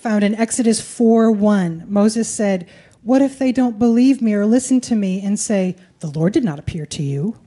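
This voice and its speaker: A woman's voice: mid-range voice, moderate pace, dark tone. A woman preaching a sermon in short phrases, in a conversational, colloquial way. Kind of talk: sermon delivered in short phrases for live interpretation.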